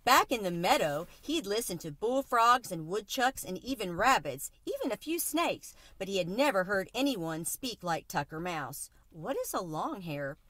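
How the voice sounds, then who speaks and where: Female storyteller for children's read-aloud, bright, animated intonation, dry close sound. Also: mid-range voice, normal speed, hardly any pauses, no echo. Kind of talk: expressive children's book narration.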